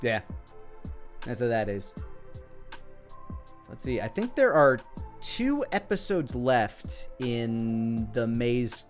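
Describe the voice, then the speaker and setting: A man's voice: medium pitch, expressive, slow pace, pauses often, faint music behind. A man giving casual, self-deprecating off-the-cuff commentary on his own comedy work. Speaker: creator commenting on own abridged anime episode.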